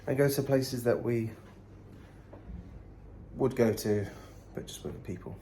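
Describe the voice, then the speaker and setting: A man's voice: medium pitch, measured pace, dark tone, slight background noise. A man talking casually and chattily as a travel vlogger. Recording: clear music behind the voice.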